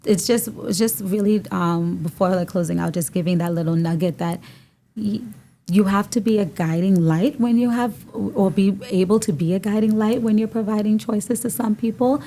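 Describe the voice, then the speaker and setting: A Bahamian woman co-hosting a podcast, speaking reflectively with many pauses and fillers. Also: mid-range voice, dark tone, a little hiss or hum. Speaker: podcast co-host. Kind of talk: casual podcast conversation. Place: studio room.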